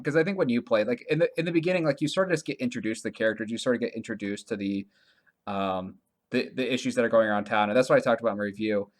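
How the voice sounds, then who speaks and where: A man co-hosting a podcast, talking casually about video games in a halting way, often restarting his sentences and leaning on filler words. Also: mid-range voice. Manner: halting, self-correcting, heavy use of "like". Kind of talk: casual conversational game review chat.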